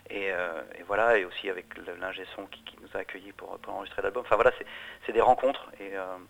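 A man's voice: sits low, steady tone, fast, gravelly, low-quality recording. A middle-aged man, a musician, answering interview questions in a hesitant way, with many restarts and fillers. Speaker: musician guest.

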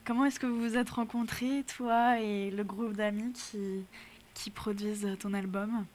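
Woman, interviewer, mid pitch, short friendly questions, faint noise behind. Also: steady tone, a little slow, darkish tone.

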